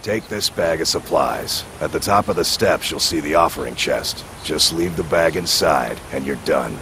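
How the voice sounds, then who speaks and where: A very deep-voiced older courier. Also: male voice, moderate pace, gravelly, background noise. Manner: folksy, plain, good-natured. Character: older male courier, kindly and weary.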